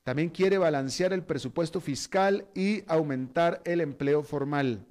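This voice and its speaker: A man's voice: a little high, measured pace, a little mellow. Man giving news commentary. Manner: conversational and opinionated, with frequent fillers. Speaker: news host. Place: Costa Rica.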